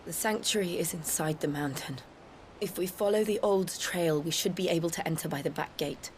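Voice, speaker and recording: An actress playing a grave, determined young woman in a video game, speaking in an earnest, measured way. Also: fairly low pitch, darkish tone, slight background noise, close to an everyday voice. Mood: guarded. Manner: earnest, measured, solemn delivery. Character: grave, determined young woman, former captive seeking closure. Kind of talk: dramatic video game voice acting.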